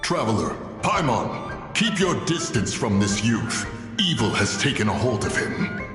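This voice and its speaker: A man's voice: mid-range voice, very animated, a little slow. A man voicing a stern, commanding ancient immortal in a video game, solemn and archaic, with an echoing effect. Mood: grave. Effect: echoing reverb. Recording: long echoing reverb, music behind.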